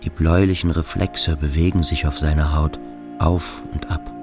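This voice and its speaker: A man's voice: extremely low pitch, fairly even intonation, normal speed, soft background music. A man narrating scenes in the present tense for a radio play.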